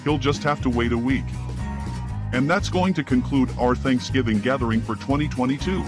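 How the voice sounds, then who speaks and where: A male host delivers a scripted sign-off in a medium-pitched voice. Guitar music plays clearly behind him. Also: moderate pace.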